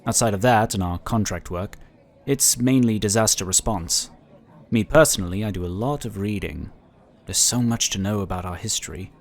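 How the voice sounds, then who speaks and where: A man's voice: fairly low pitch, normal speed, natural voice. A man playing an affable, talkative and polite psionic inquisitor in a sci-fi audio drama. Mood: candid, explanatory. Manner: talkative, easygoing, explanatory.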